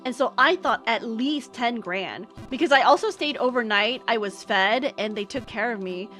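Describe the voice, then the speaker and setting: High-voiced chatty American woman. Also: expressive, normal speed, fairly bright tone, soft background music. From United States. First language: English. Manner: chatty, rambling with many fillers and restarts. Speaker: streamer. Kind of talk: casual storytelling chat.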